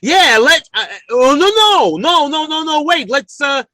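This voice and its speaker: An actor playing an excitable, easily indignant working-class family man in a radio comedy, stammering in folksy, ungrammatical speech. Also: very high-pitched, measured pace, dry recording, studio quality, heavily acted. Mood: eager to please. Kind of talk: old-time radio comedy acting.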